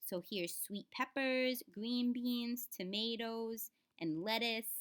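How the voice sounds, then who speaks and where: A woman's voice: mid-range voice, fairly bright tone. A woman reading a children's book aloud in a teaching way, speaking straight to the children and adding simple explanations. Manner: instructive, addresses children directly, sounds out words.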